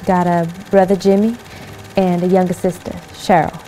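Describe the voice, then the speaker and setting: A woman's voice: on the low side, flat delivery, moderate pace, warm tone, a little hiss or hum, natural voice, low-quality recording. An actress in her twenties playing an eager 1940s volunteer, speaking plainly and politely in a film monologue. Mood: excited, proud. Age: 20s. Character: eager young 1940s woman volunteer in uniform.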